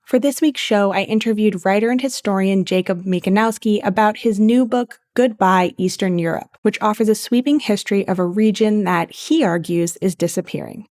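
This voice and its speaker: A woman's voice: medium pitch, a little mellow, no room sound, clean studio sound. Composed woman hosting a podcast. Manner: clear, composed, reads her questions from a script. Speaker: podcast host. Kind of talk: scripted intro and interview questions.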